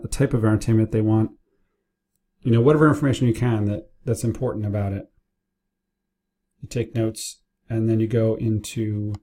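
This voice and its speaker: Very dark-voiced man giving casual tutorial. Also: medium pitch. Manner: informal, step-by-step explaining with repetition for emphasis. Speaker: business owner training staff. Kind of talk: casual screen-share tutorial narration. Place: indoors at a computer, keyboard and mouse clicks.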